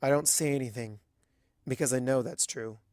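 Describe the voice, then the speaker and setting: A narrator giving an expressive, dramatic reading of scripted first-person fiction. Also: mid-range voice, normal speed, dry recording, studio quality. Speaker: audiobook narrator.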